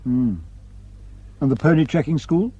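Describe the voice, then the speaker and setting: A man's voice: fairly high pitch, unhurried, warm tone, slightly rough, dull audio. A man acting a courteous, inquisitive police superintendent in a radio drama. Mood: courteous, curious.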